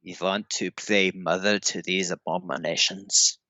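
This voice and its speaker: A man's voice: low voice, fairly even intonation, a little slow, bright, forceful tone, no echo, dull audio, exaggerated voice. A man playing a cold, possessive Soviet scientist in a horror audio drama, stern and melodramatic in manner. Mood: bitter, menacing.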